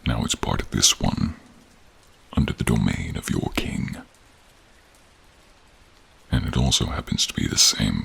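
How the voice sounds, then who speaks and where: A man voicing a protective, caring male dragon with a deep, gravelly, breathy voice, speaking slowly, solemnly and formally in a fantasy audio roleplay. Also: fairly bright tone, exaggerated voice. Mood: solemn, wistful. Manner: slow, solemn, formal, dramatic pauses. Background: rain and cave ambience.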